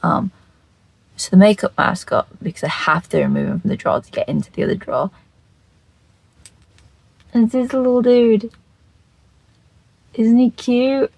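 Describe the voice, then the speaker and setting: A makeup video creator with a croaky, just-woken voice, chatting casually to camera in a scatterbrained, rambling, sweary way and repeating phrases. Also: mid-range voice, steady tone, normal speed, long pauses, no room sound, rough recording.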